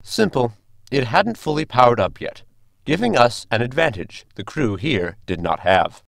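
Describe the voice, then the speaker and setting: A man's voice: mid-range voice, big pitch swings, normal speed, creaky, a bit bright. An actor playing a polite, dryly logical male android crewman in a formal, precise manner for a sci-fi comedy audio drama. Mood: explaining, dry.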